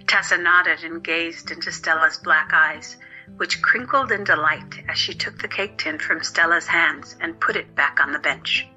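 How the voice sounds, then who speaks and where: A woman reads scripted story narration in a very sharp, forward tone. Her pitch is a little low. Faint music plays behind her on a slightly lo-fi recording. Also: measured pace.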